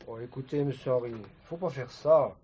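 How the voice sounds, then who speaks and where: An actor playing a humble, loyal gardener in a stage play, deferential and pleading. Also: male voice, medium pitch, moderate pace, warm tone, slightly rough, muffled recording, lightly acted. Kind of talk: French stage play dialogue.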